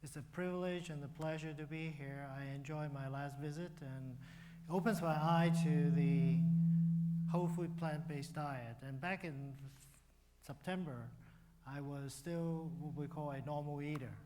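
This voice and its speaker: Older man, slightly high voice, warm tone, unhurried church health talk. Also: slight background noise. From Hong Kong. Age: 60s. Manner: relaxed, conversational, with restarts and fillers. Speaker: guest speaker, physician.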